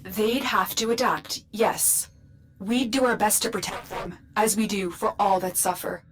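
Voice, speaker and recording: A motherly female commander from a video game voiced by a woman, medium in pitch. She speaks in a measured, insistent, protective way, with clear noise and clicks behind her. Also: fairly even intonation, natural voice. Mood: concerned, firm. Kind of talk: dramatic video game dialogue.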